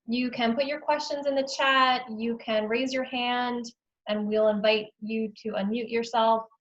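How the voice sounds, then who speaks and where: A woman's voice: fairly high pitch, steady tone, measured pace, lo-fi sound. A woman moderating a question-and-answer session on a video call, friendly and a little flustered with the meeting controls. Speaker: career services moderator.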